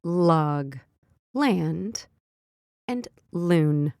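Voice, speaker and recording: A woman hosting a children's read-aloud, narrating and teaching early reading in simple, deliberate wording. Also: lowish voice, expressive, a little mellow, dry recording, studio quality. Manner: simple, deliberate wording, instructing young readers. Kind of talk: slow phonics storybook read-aloud for young children.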